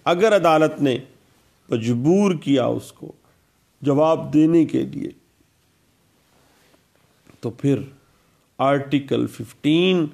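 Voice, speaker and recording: A man's voice: medium pitch, vocal fry. A man giving a law lecture, explaining legal rules step by step and mixing in English legal terms. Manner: explanatory, repeats statute citations, Urdu legal terms with English words.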